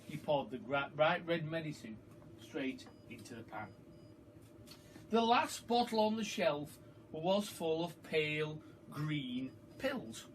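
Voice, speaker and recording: Male storyteller, children's book reading, high bright voice, unhurried, dramatic emphasis, slightly distant mic. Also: big pitch swings. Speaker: storyteller, primary school teacher. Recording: far from the mic, faint background noise.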